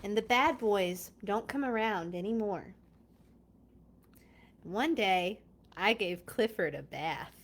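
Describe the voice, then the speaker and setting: A woman reading a children's picture book aloud in an expressive, playful way, with chatty casual asides. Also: mid-range voice, slow, pauses often.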